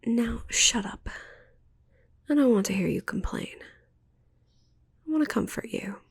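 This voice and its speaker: A woman's voice: on the high side, unhurried, no room sound, voice pushed up. A woman voicing a possessive, sweetly menacing witch in a cooing, condescending ASMR roleplay whisper. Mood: smothering affection. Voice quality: breathy, creaky whisper.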